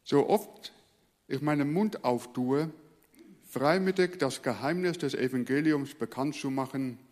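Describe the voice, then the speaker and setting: Dutch-born pastor preaching in a hall. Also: male voice, medium pitch, unhurried, darkish tone. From Netherlands. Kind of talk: sermon.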